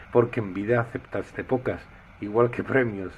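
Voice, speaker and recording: A man's voice: mid-range voice, takes it slowly, a little mellow, highs cut off, slight background noise. A man playing a nosy, bumbling radio interviewer, chatty and hesitant, in a comic sketch. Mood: chatty, curious. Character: bumbling, nosy male radio interviewer caught off guard on air.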